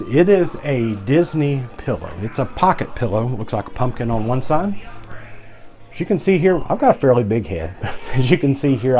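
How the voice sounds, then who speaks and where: A middle-aged man reviews products in a casual, rambling way, with fillers and restarts. His voice is mellow and relaxed at an ordinary pitch. He speaks close to an arm's-length camera inside a big cruise ship atrium. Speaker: travel vlogger. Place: atrium balcony of a large cruise ship, indoors. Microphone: camera held close at arm's length.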